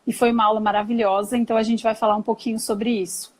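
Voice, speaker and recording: A woman hosts a live video chat in a fairly high voice, talking with her guest in a warm, admiring, conversational way. The sound comes through a video call. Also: level tone, quick pace. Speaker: doctor, live stream host.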